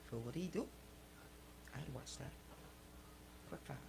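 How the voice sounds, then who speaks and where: A woman's voice: sits low, unhurried, gravelly, background noise. A woman radio host bantering and commenting on the news in a blunt, exclamatory, colloquial way.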